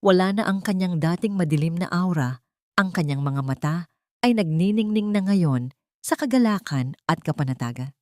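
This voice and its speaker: Low voiced lady narrating a sentimental drama story, measured, really dry sound. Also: expressive, a little mellow, no room sound.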